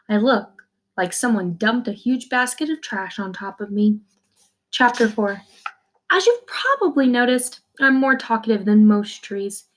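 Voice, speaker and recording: A woman reading a children's novel aloud like a teacher, expressively, in the first person, with simple explanations for young listeners. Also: medium pitch. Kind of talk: read-aloud storytelling with brief explanations.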